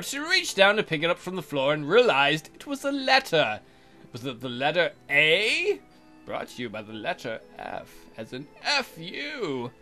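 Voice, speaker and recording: Guy doing jokey let's play commentary, bright punchy voice, really animated, bit of music behind. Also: on the high side. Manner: irreverent, crude joking, frequent mock voices. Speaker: let's play video host. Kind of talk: jokey game commentary, reading visual novel text aloud.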